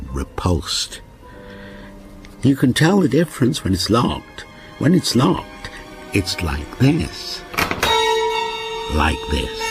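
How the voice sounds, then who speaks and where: An actor playing a lonely, obsessive older man in a radio ghost story, with a brooding, deliberate delivery that repeats broken phrases. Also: a bass voice, full character voice. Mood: menacing. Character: lonely, obsessive, sinister old railwayman ghost narrating his story. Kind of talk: radio drama monologue.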